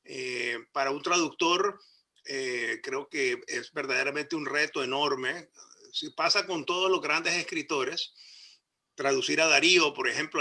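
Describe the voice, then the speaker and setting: A man from Nicaragua on a discussion panel, speaking in a measured, thoughtful way with pauses. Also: a little high, sharp tone.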